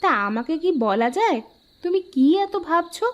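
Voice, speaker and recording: A woman's voice: very high-pitched, sing-song, normal speed. A woman voicing a caring, inquisitive village wife in a fairy-tale cartoon, with a homely, questioning manner. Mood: concerned, coaxing.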